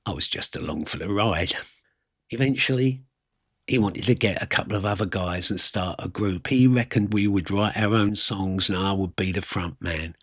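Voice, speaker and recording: A man with a husky voice, telling a first-person story in a wry, colloquial, self-deprecating way. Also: low voice, normal speed, a bit bright, no echo, studio quality. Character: wry working-class South London man reminiscing about his youth.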